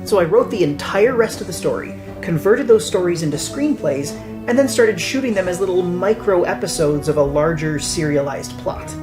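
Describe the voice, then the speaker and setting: A woman with a slightly low voice narrates behind the scenes as a filmmaker, in a prepared explanatory style. Music plays clearly behind the voice. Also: lively intonation, normal speed. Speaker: writer and filmmaker. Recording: voice over clear background music.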